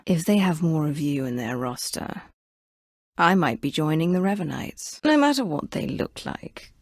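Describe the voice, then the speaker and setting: A woman playing an imperious, menacing Sith inquisitor in a video game, commanding and haughty, with deliberate dramatic delivery. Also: fairly low pitch, dry recording, clean studio sound, exaggerated voice. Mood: probing, commanding. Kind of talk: video game dialogue acting.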